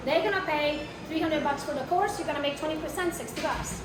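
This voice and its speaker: Brash high-voiced accented woman trainer. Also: fast. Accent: foreign. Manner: brash, blunt, asks the room questions. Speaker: real estate marketing trainer. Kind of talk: live workshop talk to an audience. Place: training room with a live audience. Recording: echoey room, mic far off, noisy.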